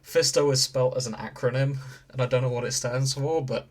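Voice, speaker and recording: A man hosting a casual podcast about video games, explaining in a measured way with joking asides. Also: mid-range voice, steady tone. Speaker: podcast host.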